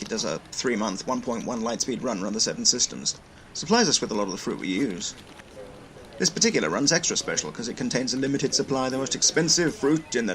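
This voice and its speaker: An actor playing a brisk, wordy station logistics manager in a sci-fi audio drama, explaining things with dry wit. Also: male voice, mid-range voice, slight background noise, lightly acted. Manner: chatty, wry, long-winded explanations.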